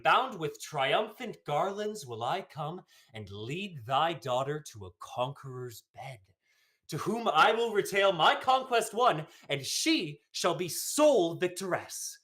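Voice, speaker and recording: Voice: mid-range voice, very animated, measured pace, a bit bright. A young actor playing a scheming, proud and persuasive usurper king in a Shakespearean radio play, in emphatic formal verse. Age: young adult. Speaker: student actor.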